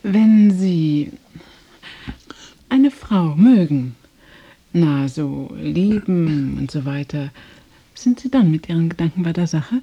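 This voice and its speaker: An actress playing a playful, seductive, mocking woman in a radio play, speaking in a teasing, deliberate, theatrical way. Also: sits low, animated, dark tone, slightly rough, dull audio, heavily acted. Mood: coaxing, seductive. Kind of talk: German radio play acting.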